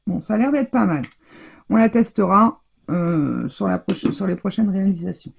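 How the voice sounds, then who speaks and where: A woman's voice: mid-range voice, expressive, normal speed, creaky, husky. A woman from France chatting casually and rambling with many fillers as she shows her craft purchases in a haul video. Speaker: craft vlogger.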